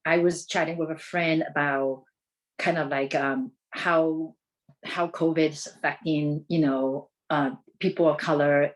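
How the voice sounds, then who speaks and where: Lower-voiced woman with a Taiwanese accent. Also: fairly even intonation, poor audio. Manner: informal, halting, repeats and rephrases. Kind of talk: conversational presentation.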